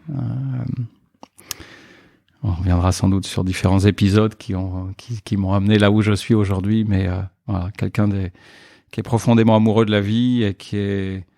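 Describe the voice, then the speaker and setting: A man's voice: on the low side, creaky, a little mellow, no echo, studio quality. A man in his early fifties from Paris, speaking as a guest in a podcast interview, with many fillers and restarts. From Paris, France. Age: 50s.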